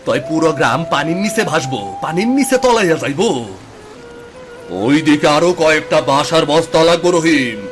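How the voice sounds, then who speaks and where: A man's voice: a little high, expressive, brisk, over music, lightly acted. A man playing an urgent, commanding villager rallying others in a story, with a rural Bangladeshi accent. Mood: urgent, alarmed. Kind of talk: dramatised Bengali story acting.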